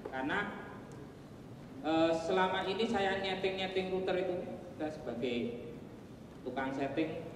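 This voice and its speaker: A man with a Javanese accent giving a relaxed conference talk, with joking asides and plenty of fillers. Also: medium pitch, background noise. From Java. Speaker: conference presenter. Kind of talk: casual technical presentation. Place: large hall. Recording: echoey hall, voice from far off.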